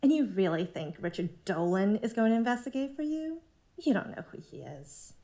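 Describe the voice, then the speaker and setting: A woman acting a manipulative cult leader in an audio drama, speaking in a measured, condescending, therapist-like way. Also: mid-range voice, big pitch swings, warm tone. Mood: mocking, challenging. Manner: measured, patronising, therapist-like phrasing.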